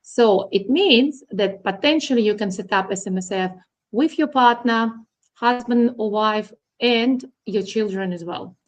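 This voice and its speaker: A woman's voice: a little high, unhurried. Russian-born woman explaining finance. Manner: plain, instructive, with ums and uhs. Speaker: guest, accountant. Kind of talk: explaining to an interviewer.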